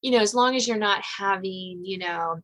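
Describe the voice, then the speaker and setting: A woman's voice: mid-range voice, normal speed, a bit bright. An American woman hosting a casual interview, talking in a chatty, rambling way peppered with filler phrases. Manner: chatty and rambling, frequent "you know". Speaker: podcast host and suspense novelist.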